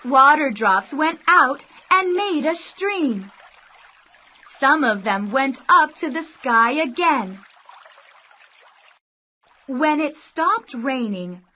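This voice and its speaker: A woman narrating a scripted children's bedtime story in deliberate, careful phrases. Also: sits high, sing-song. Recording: dull sound, soft music behind.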